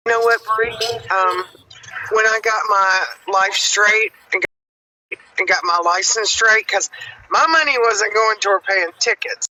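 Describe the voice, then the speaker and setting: A woman's voice: medium pitch, measured pace, slight background noise. A woman chatting and joking as a guest on a casual livestream. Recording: bright voice, distant from mic.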